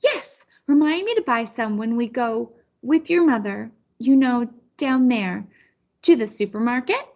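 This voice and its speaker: A woman voicing a forgetful elderly grandmother from a storybook, affectionate but easily annoyed, halting as she searches for words. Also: on the high side, expressive, darkish tone, muffled recording.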